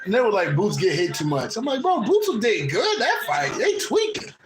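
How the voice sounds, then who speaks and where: A man's voice: high-pitched, big pitch swings, moderate pace, reverberant, music in the background. A man with a Midwestern American accent chatting loosely with a caller, laughing often and talking in heavy slang with lots of swearing. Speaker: host of a spiritual channel. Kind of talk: casual chat with a live caller.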